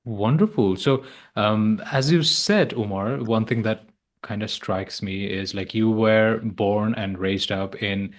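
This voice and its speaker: A low-voiced man hosts a podcast interview. He asks long, loosely built questions and keeps cheering his guest on with short encouraging words. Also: slow. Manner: encouraging, says "wonderful" often. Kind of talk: conversational interview.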